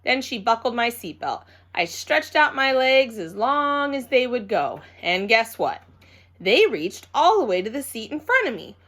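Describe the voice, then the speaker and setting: A woman's voice: fairly high pitch, very animated, unhurried, a bit bright. A woman reading scripted children's narration in a childlike, emphatic way with dramatic phrasing. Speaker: storybook reader.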